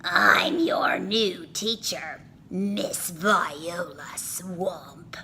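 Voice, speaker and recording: A woman's voice: on the low side, extremely slow, bright, forceful tone, exaggerated voice. A woman voicing an unpleasant, witchlike substitute teacher who hisses and snaps stern commands in a children's storybook. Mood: snappish, bossy. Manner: snapping, hissing, stern commands.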